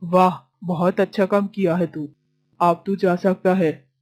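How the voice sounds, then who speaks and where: A woman acts out a crafty village uncle in a cartoon tale. The voice is on the low side, with a dry, close sound. He is gruff, miserly and dismissive. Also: steady tone, slow pace, a little mellow, no echo. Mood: bossy, wheedling. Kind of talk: children's cartoon story voice acting.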